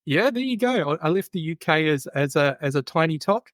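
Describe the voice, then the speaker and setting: A man's voice: on the high side, lively intonation, brisk, a bit bright, no echo, studio quality. Hesitant middle-aged Australian-accented man. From born in England, raised in Australia and New Zealand. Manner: hesitant, repeats words while thinking. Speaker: guest, safety specialist. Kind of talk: conversational podcast interview.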